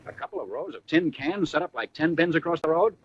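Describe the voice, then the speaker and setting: A man's voice: fairly high pitch, lively intonation, quick pace, muffled recording. An American man narrating a 1940s military training film from a script, in a punchy, colloquial style full of wartime slang, on a vintage film soundtrack.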